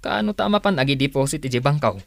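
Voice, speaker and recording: An actor playing an easygoing, indulgent, drily amused husband in an Ilocano radio drama. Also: male voice, medium pitch, lively intonation, fast talker, creaky, dry recording.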